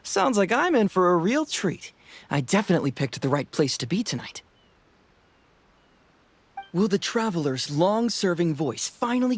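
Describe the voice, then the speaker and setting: A woman's voice: lowish voice, slight background noise. A woman voicing a confident young investigator in an anime video game, composed but playfully theatrical and teasing.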